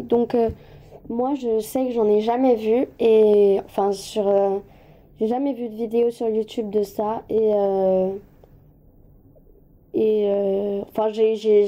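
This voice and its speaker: A woman's voice: on the high side, little pitch movement, a little slow, warm tone, dull audio. A woman with a slight head cold giving a casual hands-on craft tutorial, informal and hesitant, often apologizing as she goes.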